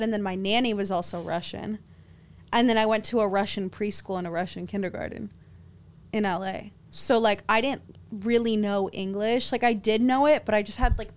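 A young woman from Los Angeles chats casually as a podcast guest. She tells long stories and leans on "like" as a filler, with a middle-pitched voice. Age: young adult. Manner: storytelling, frequent "like" fillers, laughs often.

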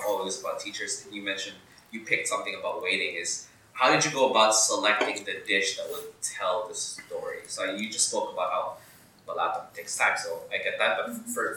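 A man's voice: lowish voice, far from the mic. Candid male host in a roomy space. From Saudi Arabia. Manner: candid, personal, lively storytelling. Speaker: podcast host. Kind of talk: casual conversation.

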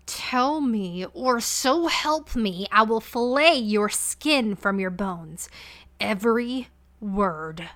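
A woman voicing a cruel, seething evil queen who speaks slowly and menacingly. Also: on the high side, steady tone, a little mellow, dry recording, close to an everyday voice. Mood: furious. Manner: slow, menacing, snarling.

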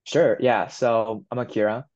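A young man from Japan who speaks English as a second language, with a fairly low voice. He answers interview questions in an easygoing way, filling his pauses with "um" and "you know". The recording sounds muffled and lo-fi. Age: 20s. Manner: relaxed, many fillers like "you know" and "um". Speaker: guest. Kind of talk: casual interview.

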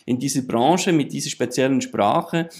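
A man's voice: mid-range voice, normal speed, darkish tone. A man interviewing a guest, asking long courteous questions framed with fillers.

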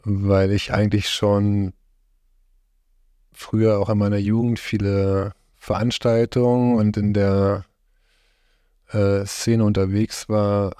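A middle-aged man from Germany, interviewed about his life, speaks in a relaxed, conversational way, often hesitating and searching for his words. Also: on the low side, measured pace, no echo, studio quality. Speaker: interviewee, farm host.

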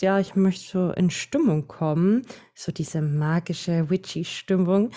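A woman in her late twenties with a Franconian German accent, a podcast guest explaining things at length in a relaxed way. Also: lowish voice. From Franconia, Bavaria. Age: 20s. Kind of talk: casual podcast chat.

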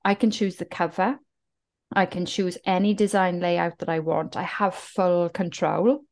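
A woman's voice: on the low side, fairly even intonation, measured pace. A woman from South Wales with a Welsh accent, a guest telling her story in an earnest, reflective way. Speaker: author and guest. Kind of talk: casual podcast interview.

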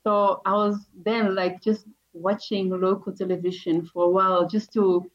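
A woman's voice: medium pitch, level tone, moderate pace. A young Dutch woman with a Dutch accent, chatting casually as a podcast guest, laughing often and restarting her sentences. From Netherlands. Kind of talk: casual interview chat. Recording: dull lo-fi audio.

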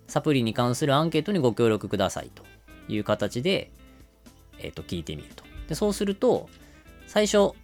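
A man hosting a podcast, explaining and narrating in a polite, casual way. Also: mid-range voice. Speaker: podcast host.

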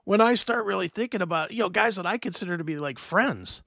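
A man in his fifties hosting a casual podcast chat, rambling and animated with many restarts. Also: high-pitched, quick pace, gravelly, dry recording, clean studio sound. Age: 50s. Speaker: host.

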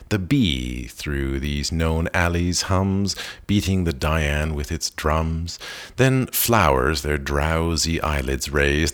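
A man with an extremely deep voice reads a long poem aloud. He takes it slowly and with expression, phrasing each line in a measured way, and now and then he restarts a word. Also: fairly bright tone. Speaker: poetry reader. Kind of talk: slow dramatic poetry reading.

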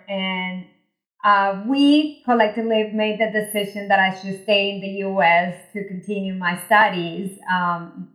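A woman's voice: mid-range voice, a bit bright. Friendly, reflective woman guest in her forties, from Venezuela, recorded in an echoey room. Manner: friendly, reflective, laughs easily. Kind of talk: casual interview.

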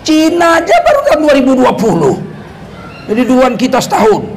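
A man's voice: very high voice, very animated, fairly quick, noisy background. A male Islamic preacher, giving a religious lecture laced with sarcastic political commentary and rhetorical questions to the audience. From North Sumatra, Indonesia.

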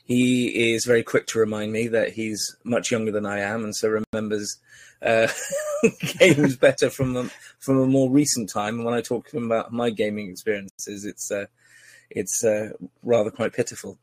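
A man's voice: fairly low pitch, fairly even intonation, moderate pace, dry recording. A man hosting a casual podcast interview, chatty and teasing, with many fillers and restarts. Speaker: podcast host.

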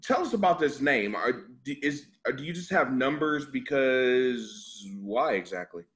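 A man's voice: mid-range voice, sing-song, unhurried, fairly bright tone. A man hosting a podcast panel, chatting casually, teasing and asking each guest questions. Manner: laughing, teasing, asks questions. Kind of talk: casual group chat.

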